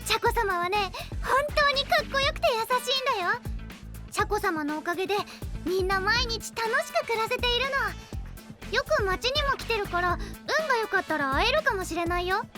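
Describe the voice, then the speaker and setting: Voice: very high voice, expressive, moderate pace, loud music behind the voice. A performer playing a sweet, admiring little child in an anime voice drama, with a cheerful, innocent manner.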